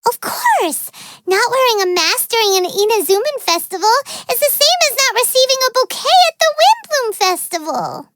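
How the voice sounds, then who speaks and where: A woman's voice: very high voice, very animated, moderate pace, a bit bright, a little hiss or hum, full character voice. A woman voicing a tiny, childlike fairy companion in a video game, greedy, naive and dramatic, with an exclamatory, whiny manner. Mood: eager. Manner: speaks of self in third person, exclamatory, whiny. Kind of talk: video game character dialogue.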